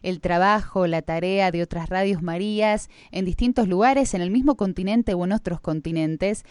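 A woman's voice: fairly low pitch, moderate pace, a little mellow, clean tone, no echo, clean studio sound. A woman with an Argentine accent hosting a live radio interview, speaking in long, reflective phrases with many diminutives. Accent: Argentine Spanish. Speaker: radio host.

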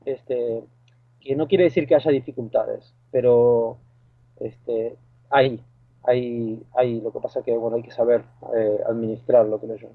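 A man's voice: mid-range voice, steady tone, a little slow, dry recording. A man from Argentina with an Argentine accent, a guest talking hesitantly with many fillers and restarts over the phone. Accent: Argentine Spanish. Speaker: guest, lifeguard and boat skipper. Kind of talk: phone interview. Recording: tinny phone line.

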